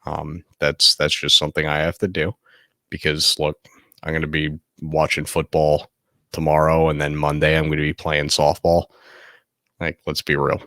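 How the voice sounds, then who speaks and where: Man, 30s, podcast host, very deep voice, soft close-mic delivery, dry sound. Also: monotone, moderate pace, dry recording. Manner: speaking softly and close to the mic, laid-back and candid. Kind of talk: casual late-night solo podcast. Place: home at night. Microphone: close to the microphone.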